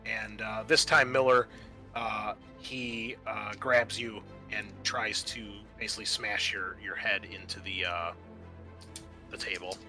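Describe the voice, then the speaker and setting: A man's voice: on the low side, steady tone, a little slow, music in the background. A man acting as game master, narrating and refereeing a tabletop roleplaying game.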